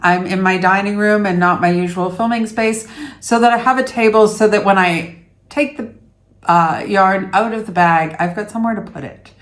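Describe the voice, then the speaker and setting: A woman's voice: mid-range voice, steady tone, moderate pace. A middle-aged woman from Canada hosting a yarn vlog from her dining room at home, chatting casually to the camera in a rambling, self-deprecating way with many fillers. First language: English. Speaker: yarn vlogger host.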